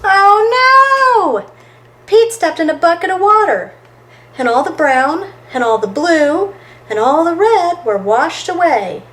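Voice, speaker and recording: High pitched lady doing kids storytime, slow and expressive, kinda singsong read-aloud. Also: poor audio. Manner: expressive, deliberate, singsong delivery with dramatic exclamations. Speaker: storytime reader for children. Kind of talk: animated children's picture book read-aloud.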